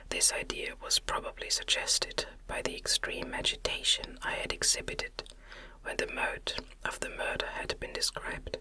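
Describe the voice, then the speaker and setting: A woman reading a classic novel aloud in a gentle, soothing, breathy ASMR whisper with careful, unhurried phrasing. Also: rough recording.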